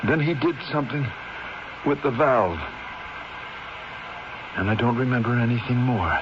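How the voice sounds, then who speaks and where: A man acting a slow, frail dying man. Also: mid-range voice, expressive, close to an everyday voice. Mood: dazed, weak. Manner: slow, faint, halting speech. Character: weak, dying bedridden man, wistful and resigned. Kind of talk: 1970s radio drama acting. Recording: dull, noisy 1970s radio drama recording.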